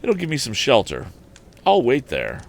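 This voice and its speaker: A man's voice: mid-range voice, huge pitch swings, moderate pace, creaky, voice pushed up, heavily acted. A man voicing an earnest, eager young male inventor who thinks aloud in an old adventure storybook. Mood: decisive.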